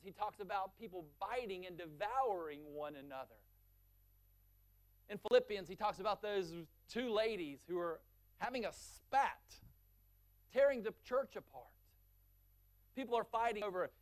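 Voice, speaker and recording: High-pitched guy preaching, gets loud on the lists then goes way quiet for the big point. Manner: emphatic, building in volume through lists, dropping low for key points. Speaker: pastor. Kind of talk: sermon.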